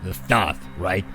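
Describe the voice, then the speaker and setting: A man's voice: sits low, creaky, exaggerated voice. A man playing a sleazy, lecherous old rich businessman in a motion comic, chuckling and stammering as he talks. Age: older.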